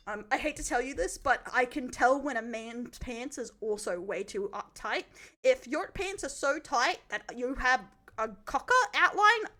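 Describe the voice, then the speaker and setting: An Australian woman with a high voice that swings widely in pitch. She chats casually as a streamer, blunt and giggly, joking crudely as she reacts. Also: bright, forceful tone. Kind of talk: casual livestream chat.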